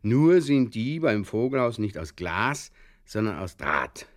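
A man playing an earnestly muddled Bavarian fishkeeper in a halting, circuitous comic radio monologue, with a Bavarian accent. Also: lowish voice, big pitch swings, no echo, clean studio sound. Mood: earnestly explaining. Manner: halting, circuitous, literal-minded, over-explaining. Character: rambling, earnestly muddled Bavarian fishkeeper telling absurd tales.

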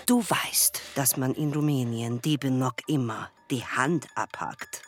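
A woman's voice: extremely low pitch, a little slow, soft background music. A woman playing a bold, menacing circus knife thrower in a radio drama, commanding and taunting.

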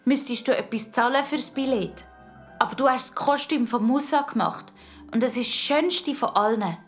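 A woman's voice: high voice, moderate pace, faint music behind, pitched above the performer's own voice, close to an everyday voice. A woman voicing an eager, plucky young girl in a children's story. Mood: friendly, eager.

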